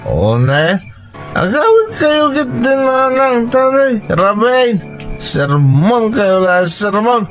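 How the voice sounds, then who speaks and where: A man's voice: very high voice, very animated, slow, faint music behind, heavily acted. A man playing an irritable, irresponsible husband and father in an audio drama, grumbling and dismissive. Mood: defensive, grumbling. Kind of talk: Ilocano audio drama acting.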